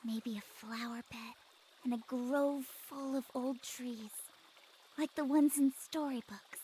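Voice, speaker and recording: A woman's voice: sits high, fairly even intonation, takes it slowly, a little hiss or hum, poor audio. A woman playing a dreamy, awkward young female visitor in a visual novel, with a hesitant, musing manner. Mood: dreamy, wistful. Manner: hesitant, soft-spoken, musing. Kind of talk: visual novel voice acting, inner monologue and dialogue.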